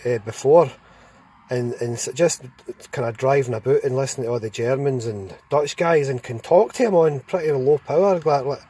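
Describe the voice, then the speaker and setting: A man's voice: medium pitch, darkish tone, no room sound. A Scottish man with a Glasgow accent chatting casually and plainly on CB radio, recorded on a phone inside a parked car. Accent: Scottish, Glasgow area. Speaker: amateur CB radio operator. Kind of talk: casual chat. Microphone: handheld phone.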